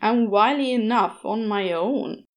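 Woman as grumbling male demon, wily, irritable, muttered snarls, unhurried storybook delivery. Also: a little high, natural voice.

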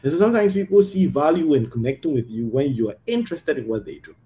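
Animated man with a lively pitch. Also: fast talker. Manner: animated, emphatic, often asks "right?". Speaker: podcast host. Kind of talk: casual conversational podcast chat.

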